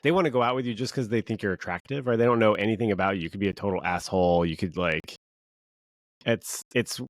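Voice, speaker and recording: A man's voice: lowish voice, no echo. A man co-hosting a podcast, easygoing and casual, peppering his talk with filler words. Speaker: podcast co-host. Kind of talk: casual chat.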